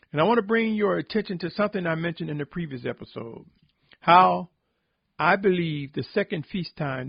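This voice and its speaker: Gravelly, husky man preaching solemnly. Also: a little high, dry recording, muffled recording. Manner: exhortatory, deliberate, with emphatic repetition. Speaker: religious podcast host and preacher. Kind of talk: solemn sermon-like spoken reflection.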